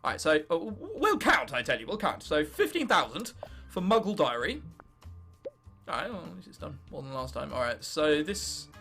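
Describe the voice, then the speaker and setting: A man in his late teens with an English accent giving casual, sarcastic gameplay commentary, self-mocking and freely sweary, with an excitable delivery. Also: on the high side, moderate pace, vocal fry, soft background music. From England. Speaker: gaming video host.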